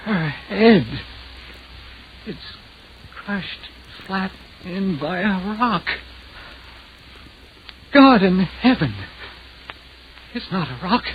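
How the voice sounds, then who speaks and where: Aghast man, extremely slow and halting. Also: high voice, expressive, heavily acted. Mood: horrified. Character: horrified witness to a gruesome death. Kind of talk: old-time radio horror drama acting. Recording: dull, noisy vintage radio recording.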